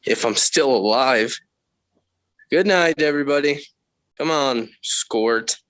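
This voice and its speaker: A man's voice: mid-range voice, slow, fairly bright tone. An American male actor playing a reluctant, sarcastic teenage son in a 1940s radio sitcom. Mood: grumbling. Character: grumbling, reluctant teenage son and big brother.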